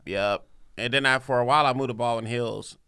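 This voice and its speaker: A man's voice: mid-range voice, fairly even intonation, fairly quick, bright, forceful tone, clean tone. A man in his thirties from Los Angeles, chatting as a comedian guest on a podcast in a small studio, laid-back and slangy, trailing off into mumbles. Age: 30s. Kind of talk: casual podcast chat. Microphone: boom mic on arm.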